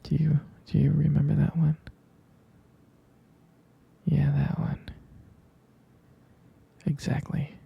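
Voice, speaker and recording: Jealous, devoted young boyfriend for whispered roleplay ASMR, male actor; heavy vocal fry, very husky and breathy, halting delivery. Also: fairly high pitch, monotone, muffled recording, exaggerated voice. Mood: fond, nostalgic. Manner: slow, halting, softly reassuring.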